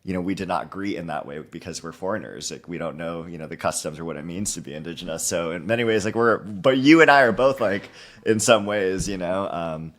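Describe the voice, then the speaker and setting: A very deep-voiced man from Hawaii in his thirties talks easily as a podcast guest. His speech is upbeat and loose, strung together with "you know" and "like". He sounds relaxed and conversational. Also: brisk. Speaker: guest author. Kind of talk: casual interview conversation.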